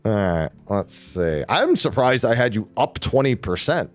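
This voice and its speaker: A man's voice: lowish voice, sing-song, measured pace, faint music behind. A man hosting a casual gaming podcast stream, laughing often and swearing casually.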